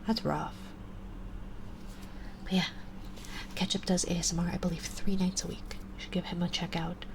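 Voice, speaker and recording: A woman in her twenties with a stuffy nose, chatting softly on a live ASMR stream and slipping into whispers. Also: lowish voice, moderate pace, gravelly, background noise. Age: 20s. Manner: soft and relaxed, drifting into whispers. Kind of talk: casual chat to a live stream. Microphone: binaural mic.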